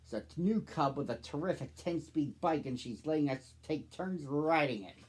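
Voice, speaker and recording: Man voicing an excited, gossipy girl bear cub. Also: on the high side, moderate pace, close to an everyday voice.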